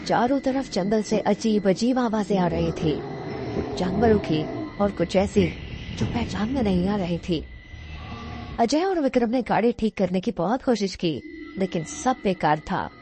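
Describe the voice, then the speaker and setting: A woman's voice: mid-range voice, animated, a little slow, over music. A woman reading scripted narration for a horror cartoon story.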